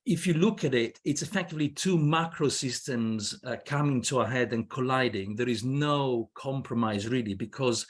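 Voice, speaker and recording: A man in his fifties with a Swiss accent, not a native English speaker, speaking as a guest lawyer on a video call, hesitant with many fillers and restarts. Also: mid-range voice. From Switzerland. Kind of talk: panel discussion on a video call. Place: indoor room with wooden ceiling. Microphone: laptop webcam on a video call.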